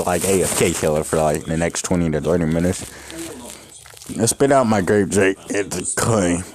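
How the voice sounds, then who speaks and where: A young man casually reading a fan story aloud, stumbling over lines and stopping to joke and swear. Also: medium pitch. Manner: reads unevenly, breaks off to joke and swear. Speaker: fanfic reader. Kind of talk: casual fanfic reading with commentary.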